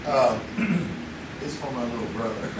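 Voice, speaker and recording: A man's voice: medium pitch, unhurried, background noise, close to an everyday voice. An actor playing JC, a hesitant, good-natured young man who reads comic books, in a live stage radio drama. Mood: goofy. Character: naive young man JC, comic-book reader. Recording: echoey theater sound from a distant mic.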